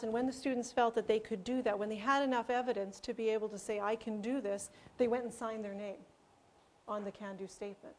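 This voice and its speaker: A woman's voice: fairly high pitch, a little hiss or hum. Woman presenting at a conference. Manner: explanatory, with fillers and restarts. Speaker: language education presenter.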